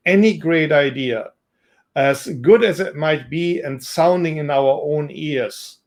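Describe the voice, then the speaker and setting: Man with a German accent, somewhat high voice, measured businesslike pitch-style talk. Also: a little mellow. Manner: measured, businesslike, with frequent ums. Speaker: investor and entrepreneur. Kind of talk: self-introduction to camera.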